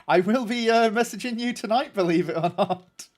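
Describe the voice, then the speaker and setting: A man's voice: high-pitched, normal speed. Nasal man chatting on stream. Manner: rambling and chatty, many ums and restarts. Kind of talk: casual livestream chat answering viewers.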